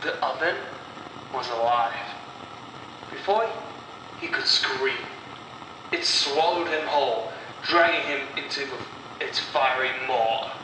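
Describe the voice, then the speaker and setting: A man storyteller narrating a scripted horror story in a deliberate way, with dramatic pauses. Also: medium pitch. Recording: echoey, distant voice with clear noise.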